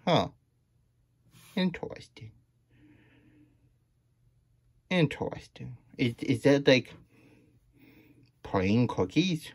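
Childlike storybook hedgehog host, played by a man: breathy, excitable, unhurried, close and dry sound. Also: medium pitch, no echo. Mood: puzzled, pondering. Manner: childlike broken grammar, third-person self-reference, gasping wonder. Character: excitable, childlike hedgehog plush reading a picture book. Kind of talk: character read-aloud with chatty asides. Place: small room indoors at a table.

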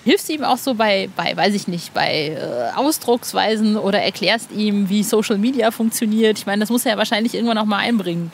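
A woman's voice: medium pitch, expressive, fairly quick, vocal fry, hardly any pauses. A woman hosting a podcast interview in an informal, colloquial, eager way. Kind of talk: casual interview chat. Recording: faint background noise.

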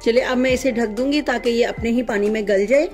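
A woman's voice: a little high, fairly quick, a little mellow. A woman hosting her home cooking channel, explaining each step of a recipe in an instructive way and often checking in with the viewer. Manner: instructive, often ends with "theek hai". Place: home kitchen. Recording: echoey room with background music.

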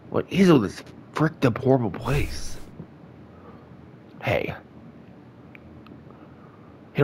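A man's voice: fairly low pitch, slight background noise. A man with a stuffed-up nose, casually playing a game and reading its dialogue aloud. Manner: reads character lines in his own voice, chatty asides, congested. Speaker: gaming YouTuber.

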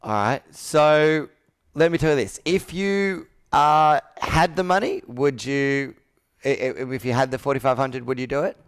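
A man's voice: medium pitch, measured pace, clear, resonant tone. A man in his thirties coaching a sales roleplay on a video call, asking probing questions and repeating answers back. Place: indoor room with whiteboard, on a video call.

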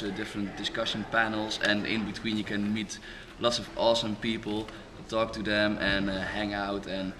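A young man who hosts a skeptic podcast, talking casually at a conference in a large hall, halting with many fillers and restarts. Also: on the low side. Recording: noisy hall background.

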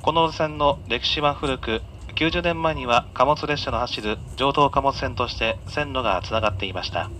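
A male train conductor speaks in a bright, forward voice at a middle pitch. He gives formal, polite on-board announcements over the PA, with faint train noise behind him. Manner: polite, measured, formal delivery. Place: inside a moving train, over the announcement system. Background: faint train running noise.

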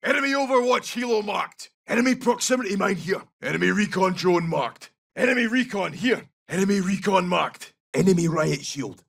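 An actor playing a gruff, brash Scottish special forces soldier in a military shooter game, giving clipped, urgent tactical callouts in a rough, gravelly voice. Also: male voice, sits high, normal speed, few pauses, distant mic, exaggerated voice. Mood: alert, clipped.

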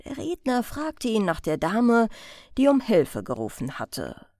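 A woman reading a crime story as an audiobook narrator in a measured, careful storytelling manner. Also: a little high, wildly swooping pitch, a little mellow, no room sound, clean studio sound.

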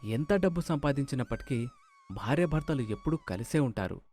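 A man's voice: medium pitch, big pitch swings, a little fast, darkish tone, faint music behind. A man narrating a scripted moral story as the storyteller.

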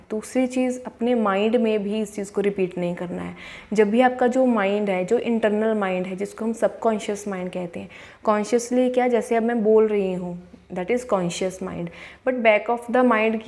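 A woman's voice: mid-range voice, darkish tone. Earnest young woman coaching to camera. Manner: earnest, repeats affirmations slowly. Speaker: law of attraction coach. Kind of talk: motivational talk to camera. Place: indoors, in front of a plain wall. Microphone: clip-on lapel mic.

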